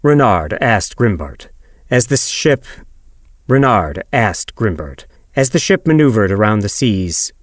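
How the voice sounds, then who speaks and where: A man's voice: fairly low pitch, sing-song, a little slow. A man reading scripted audiobook narration, going back over lines in retakes.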